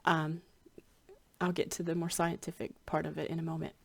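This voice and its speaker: Woman, lowish voice, congested, slightly scratchy, casual vlog chat, rambling with ums. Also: flat delivery, normal speed, creaky, husky. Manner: rambling, many ums and restarts. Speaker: spiritual hypnotherapy practitioner.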